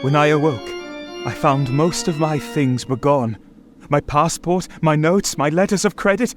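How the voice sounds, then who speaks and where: An actor playing an anxious, imprisoned gentleman recounting horrors in a dramatic vintage radio style. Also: on the high side, unhurried, lightly acted. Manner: dramatic, haunted, emphatic. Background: dramatic music.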